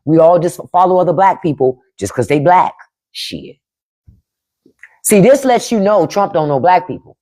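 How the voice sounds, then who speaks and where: Blunt, profane woman with a lively voice. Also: lowish voice, moderate pace. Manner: blunt, profane, emphatic, reads and answers chat. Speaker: podcast host on a live stream. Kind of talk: casual livestream commentary.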